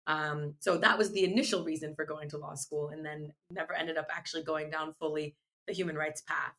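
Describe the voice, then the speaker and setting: Woman, podcast guest, bright clear tone, thoughtful, restarts often. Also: low-pitched, fairly even intonation, fairly quick. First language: Farsi. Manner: earnest, thoughtful, with frequent restarts. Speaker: guest, legal tech founder. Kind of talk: podcast interview answers.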